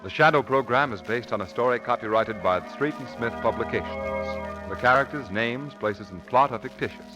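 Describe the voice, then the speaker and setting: A man's voice: mid-range voice, moderate pace, few pauses, music in the background, low-quality recording. A man announcing from a script in an emphatic, dramatic 1940s radio style. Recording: old 1940s radio broadcast recording.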